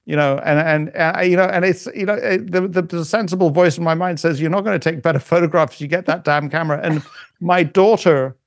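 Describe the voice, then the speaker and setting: A middle-aged man chats as a podcast guest, his voice sitting a bit high. He talks in a halting way, circling back with restarts and fillers. The sound is dry and close. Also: steady tone, dry recording. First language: not German. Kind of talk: casual conversation.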